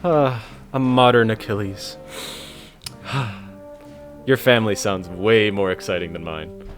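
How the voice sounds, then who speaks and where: Low-voiced earnest young man acted by a man. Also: unhurried, music in the background, close to an everyday voice. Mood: mild, apologetic. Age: young adult. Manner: hesitant, earnest. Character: mild, earnest, dutiful young man, awkwardly sincere. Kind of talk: scripted romantic comedy audio drama.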